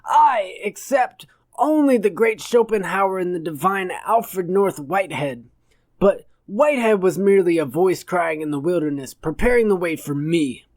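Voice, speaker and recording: A man's voice: high-pitched, expressive, moderate pace, a little mellow, no echo. A man voicing a pompous, gloomy old philosopher guru in an audiobook, declaiming in wordy pronouncements. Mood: haughty, scornful. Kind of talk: audiobook character voice.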